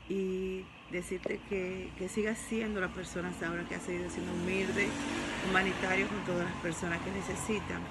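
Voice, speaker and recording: A hoarse woman with the flu calling in as a longtime follower, earnestly giving her thanks and blessings. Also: fairly low pitch, heavy background noise.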